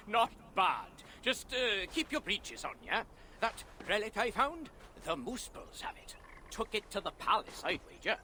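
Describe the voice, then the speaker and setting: A cheeky dwarf miner, high-pitched and bright, with a lively swing in his delivery. He talks in a breezy, wry, colloquial way suited to a fantasy video game. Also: unhurried, slight background noise, heavily acted. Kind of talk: dramatic video game voice acting.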